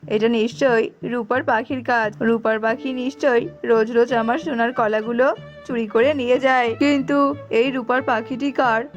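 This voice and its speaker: A hot-tempered storybook king played by a woman speaks imperiously in a fairly high voice. He is a stern ruler and father in a cartoon tale. Music plays clearly behind him. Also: steady tone, moderate pace, highs cut off, close to an everyday voice. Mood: pondering. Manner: imperious, threatening. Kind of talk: children's cartoon fairy-tale acting.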